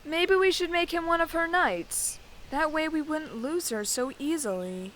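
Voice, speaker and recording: A woman's voice: high voice, unhurried, fairly bright tone, slight background noise, low-quality recording. A woman playing a protective, wry female knight who guards a princess in a fantasy audio drama. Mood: conspiratorial.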